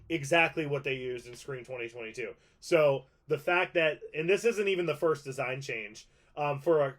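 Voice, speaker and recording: Man, casual presenter, collector's show-and-tell, conversational, informal, rambling delivery, mid-range pitch. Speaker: collector presenting a movie prop guide.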